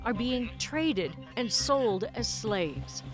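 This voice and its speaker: A woman news host narrating a report and then questioning a guest in a measured, clear delivery. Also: on the high side, expressive, over music.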